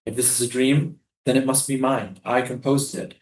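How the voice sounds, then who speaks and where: A man's voice: mid-range voice, flat delivery, normal speed, reverberant, natural voice. A man in a staged play reading, acting a doomed, solemn prisoner who is a loving, poetic father.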